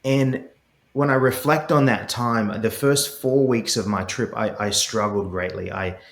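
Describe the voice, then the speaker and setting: A man's voice: on the low side, unhurried, creaky, roomy sound. A middle-aged man with an Australian accent speaks with passion as an interview guest, often repeating words as he starts his sentences. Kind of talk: earnest interview conversation.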